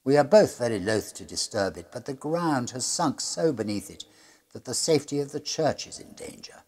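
A middle-aged man reading a gothic horror tale as a diary, in a grave, deliberate manner that sinks to a hush at the ends of phrases. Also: medium pitch, expressive, husky. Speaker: storyteller reading a classic ghost story aloud. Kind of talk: dramatic gothic horror narration, read as a diary. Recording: music under the voice. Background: music.

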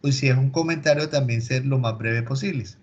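A man's voice: mid-range voice, fairly quick, husky. Male moderator, courteous and directive, muffled video-call audio. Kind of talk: moderating a webinar.

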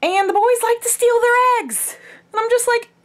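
A young adult woman chatting casually to a handheld camera at arm's length in a small room at home, in a conversational, animated manner. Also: extremely high pitch, normal speed. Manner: animated, conversational, acts out quotes. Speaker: vlogger.

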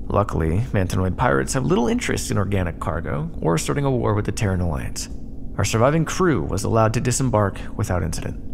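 A man playing a sardonic, battered starship first officer dictating a log in an audio roleplay, wry and exasperated, with muttered asides. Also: on the low side. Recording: clear background noise under the voice.

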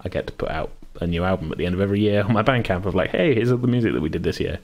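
A man's voice: low-pitched, rapid-fire, a little mellow. A man hosting a podcast in casual chat, self-deprecating and given to chuckling. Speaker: podcast host and game master.

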